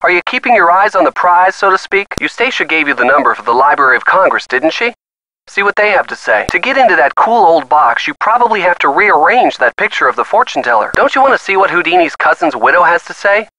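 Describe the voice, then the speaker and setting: Game casting: earnest young boyfriend, encouraging and folksy, higher-pitched, very lively intonation. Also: male voice, fast talker, exaggerated voice, rough recording. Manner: encouraging, folksy turns of phrase. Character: earnest young boyfriend coaching a teen detective by phone. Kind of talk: scripted video game hint lines.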